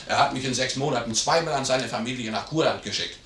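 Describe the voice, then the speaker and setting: An insolent manservant in an old German radio drama, acted by a man. He is blunt and gruff, with a mid-pitched voice. He sounds set back from the microphone in a roomy space. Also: fast talker, a bit bright, close to an everyday voice. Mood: insolent, offhand. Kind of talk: 1950s German radio play acting. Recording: distant, roomy, noisy old radio recording.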